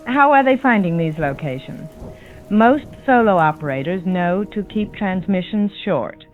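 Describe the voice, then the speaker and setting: A woman's voice: lowish voice, expressive, a little slow. A woman playing a compassionate, resolute survivor radio operator, earnest and firm, through a radio filter. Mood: troubled, concerned. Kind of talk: post-apocalyptic audio drama acting. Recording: tinny phone-like radio, faint music.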